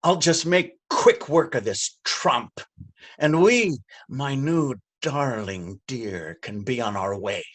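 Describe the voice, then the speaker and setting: Man playing a slow, taunting, brutal policeman. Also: medium pitch, expressive, fairly bright tone. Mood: cruel, gloating. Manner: mocking, drawling, menacing. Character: cruel, taunting, violent corrupt male police officer. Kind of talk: stage readers theater drama.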